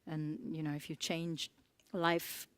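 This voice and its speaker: A woman's voice: sits low, level tone, slow pace, clear, resonant tone, dry recording. Chatty Italian woman giving a talk. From Italy. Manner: chatty, laughs often between words; many fillers and restarts. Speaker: conference speaker. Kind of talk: conference talk. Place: conference room.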